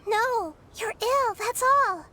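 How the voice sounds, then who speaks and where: An actress voicing a small childlike goddess in a fantasy video game, gentle and earnest, with soft, measured wisdom. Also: female voice, very high-pitched, very noisy. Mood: earnest pleading.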